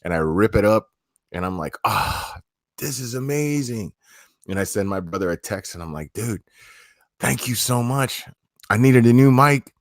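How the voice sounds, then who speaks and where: Young male streamer, very low voice, dry close mic, loose rambling delivery. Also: expressive, normal speed, no echo. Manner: rambling, repeats words, swears casually. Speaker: livestream host. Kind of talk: casual livestream chat.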